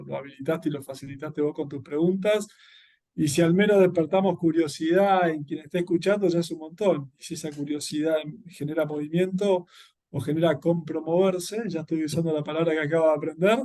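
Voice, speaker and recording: A man's voice: fairly high pitch, dark tone. An Argentine man speaking earnestly and reflectively as an interview guest, explaining his work. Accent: Argentine Spanish. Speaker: interview guest, social entrepreneur and educator.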